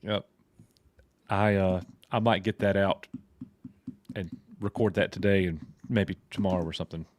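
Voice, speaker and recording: A man from Alabama, co-hosting a casual livestream chat, excitable and quick to laugh over a video call. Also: deep voice, no room sound. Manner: excited, laughing, restarts his phrases. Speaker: co-host.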